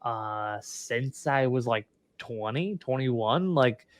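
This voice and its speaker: A man in his thirties hosting a casual podcast interview, relaxed and quick to laugh, with plenty of filler words. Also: medium pitch, dry recording. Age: 30s. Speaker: podcast host.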